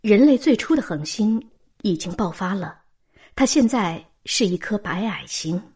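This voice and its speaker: A woman as a dark, hazy, impassive cosmic supercomputer. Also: medium pitch, animated, measured pace, husky, distant mic. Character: vast cosmic supercomputer, all-knowing and impassive. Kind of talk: audio drama dialogue.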